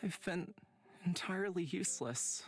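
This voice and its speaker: A man's voice: high-pitched, animated, normal speed. A man voicing a devoted, insecure young companion in a visual novel, earnest, wistful and self-doubting. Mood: wistful, pleading.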